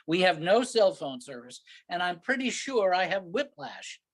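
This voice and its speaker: A man's voice: sits high, big pitch swings, moderate pace, a bit bright, exaggerated voice. A man playing an impatient, sarcastic, exasperated husband on vacation in an amateur staged play reading. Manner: sarcastic, griping, incredulous.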